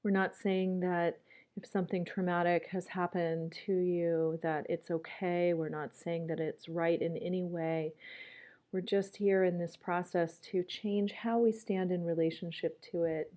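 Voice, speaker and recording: Woman doing guided meditation, really slow and calm, soft mellow voice. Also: lowish voice. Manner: calm, gentle, unhurried with long pauses.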